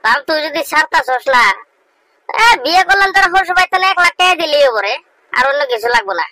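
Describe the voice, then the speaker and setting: A man voicing a young village man who teases his friend in crude, rough banter, speaking. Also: very high voice, expressive, fast talker, heavily acted, rough recording. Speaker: voice actor. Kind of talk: comedic cartoon dialogue. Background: loud music.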